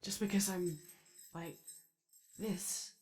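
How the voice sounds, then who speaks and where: A woman voicing an anxious, eager and gentle robot daycare attendant in an ASMR roleplay, speaking in a hesitant, rambling way. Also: mid-range voice, fairly even intonation, soft background music, natural voice. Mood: hesitant, caring. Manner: hesitant, rambling, halting speech. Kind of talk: improvised-sounding ASMR character roleplay.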